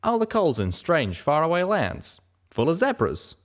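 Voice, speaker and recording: A man's voice: on the high side, huge pitch swings, measured pace, voice pushed up. A man voicing an easygoing male pegasus mechanic with folksy, drawling phrasing, in a storybook reading.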